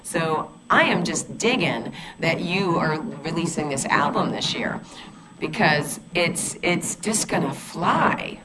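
A woman's voice: low-pitched, lively intonation, slow pace, a loud crowd, rough recording. A woman hosting a casual radio talk show, chatty and enthusiastic, with lots of fillers and restarts. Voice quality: loud voice. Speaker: radio show host and numerologist. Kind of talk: casual radio interview chat.

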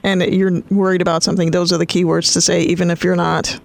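A woman's voice: lowish voice, level tone, quick pace, vocal fry, no room sound. A woman hosting an interview in a casual, upbeat and informal conversational style. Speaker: interview show host.

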